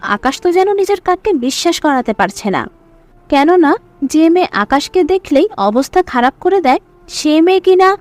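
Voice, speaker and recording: Story narrator, female: scripted delivery, high voice, highly varied intonation. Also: animated, moderate pace, a little mellow, no room sound.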